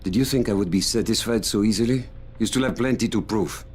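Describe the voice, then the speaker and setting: A menacing crime boss acted by a man for a video game, with a deep voice. He speaks deliberately and pompously, in cold control, over faint background ambience. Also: a little mellow, a little hiss or hum, lightly acted. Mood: mocking approval. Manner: pompous, deliberate, measured phrasing. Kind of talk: video game cutscene acting.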